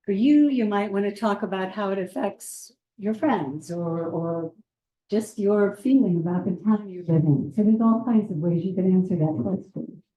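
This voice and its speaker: Lady hosting a community circle, soft easygoing tone, bit hesitant, echoey room. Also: medium pitch. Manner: gentle, measured, slightly hesitant. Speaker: moderator of a community panel. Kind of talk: welcoming remarks to a live audience. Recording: roomy room sound.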